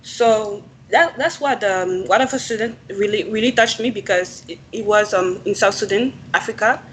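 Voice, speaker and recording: A young woman from Ivory Coast, French-speaking, talking as a student guest in a radio interview. She speaks softly and hesitantly, pausing with ums, and a faint hiss of background noise sits behind her. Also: medium pitch, rough recording. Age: young adult. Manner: hesitant, many ums, soft-spoken. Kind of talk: casual interview.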